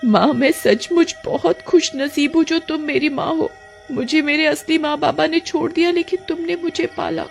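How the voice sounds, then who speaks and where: A woman voicing an earnest young village girl who speaks in a high, pleading voice. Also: fairly even intonation, normal speed, mellow, soft background music, close to an everyday voice. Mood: grateful.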